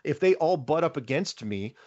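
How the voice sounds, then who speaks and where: Male interview host, lively pitch swings, emphatic and conversational, slightly lo-fi audio. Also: moderate pace, dry recording. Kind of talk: conversational interview with asides to listeners.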